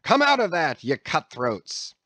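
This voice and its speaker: A man's voice: a little high, wildly swooping pitch, measured pace, bright, forceful tone, no room sound, pitched above the performer's own voice, heavily acted. A man voicing an angry ship captain who bellows short commands at his crew. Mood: furious.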